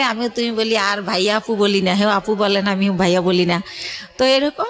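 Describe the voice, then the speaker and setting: A woman's voice: on the high side, a bit bright. A middle-aged woman with a colloquial Bangladeshi accent tells a personal story in a radio interview, conversationally, voicing reported dialogue as she goes. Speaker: guest telling her life story.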